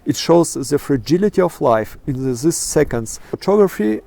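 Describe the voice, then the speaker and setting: Mellow-voiced man with a Russian accent. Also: on the high side, animated, vocal fry. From Kazakhstan. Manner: halting, many fillers and restarts. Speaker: interviewee, astrophotographer. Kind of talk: casual interview talk. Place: outdoors in a national park.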